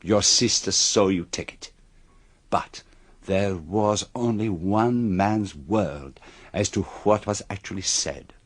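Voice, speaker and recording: A man's voice: sits low, slow, slightly rough, full character voice. An actor playing a shrewd, courteous older detective in a radio drama, speaking precisely and with formal politeness as he accuses. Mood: methodical, explaining.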